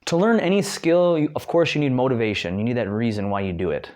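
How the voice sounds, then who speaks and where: A man's voice: medium pitch, fast talker, a little mellow. A young American man from Detroit giving a casual spoken lesson, chatty, with many fillers and restarts. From Detroit, United States. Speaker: guest language learner.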